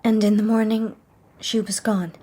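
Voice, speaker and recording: An actress playing a wistful young society woman, telling her story in a hushed, measured radio drama style. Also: medium pitch, flat delivery, a little hiss or hum, lightly acted. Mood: haunted, sorrowful.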